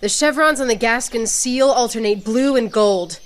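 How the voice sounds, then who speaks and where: A woman's voice: fairly high pitch, normal speed, lightly acted. A woman playing a proud, witty soldier-poet with a wry, poetic way of speaking, in radio theatre. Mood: wistful, poetic.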